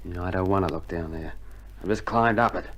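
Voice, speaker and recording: An actor playing a cheeky, complaining Australian army private in a radio drama, talking in slangy colloquial style. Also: male voice, low-pitched, brisk. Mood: griping. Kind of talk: 1960s radio drama acting. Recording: noisy old vinyl radio recording.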